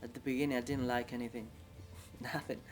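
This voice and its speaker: Animated man recalling new foods. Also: medium pitch, brisk, over music. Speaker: community member. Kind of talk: casual interview.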